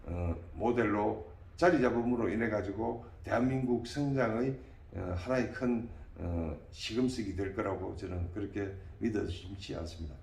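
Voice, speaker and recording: A man in his late sixties answering interview questions as a political candidate, in an earnest, deliberate, halting way. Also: on the low side, gravelly, a little mellow. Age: late 60s. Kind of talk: interview answers, campaign pitch. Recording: roomy, distant mic, faint background noise.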